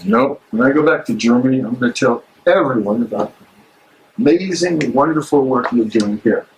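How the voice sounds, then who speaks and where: A man acting a troubled, earnest German theologian in a live dramatic reading, speaking haltingly. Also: medium pitch, natural voice, rough recording. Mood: admiring. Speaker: actor in a dramatic play reading. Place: public library meeting room. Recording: live library room, faint background noise.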